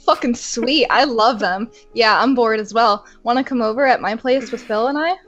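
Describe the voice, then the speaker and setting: A woman's voice: a little high, moderate pace, a bit bright, highs cut off, soft background music. A woman reading the lines of a bored teenage girl in her own casual voice, without any accent.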